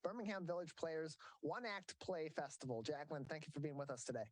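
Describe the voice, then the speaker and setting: A man's voice: fairly high pitch, fairly quick. A man hosting a local TV interview who stumbles over fillers and repeats words as he restarts. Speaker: host.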